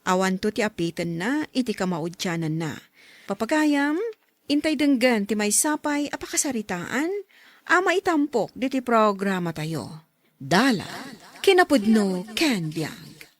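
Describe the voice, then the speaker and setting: A woman's voice: mid-range voice, big pitch swings, measured pace, no echo. A woman with an Ilocano accent hosting a drama podcast with a scripted, dramatic introduction. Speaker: podcast host and narrator.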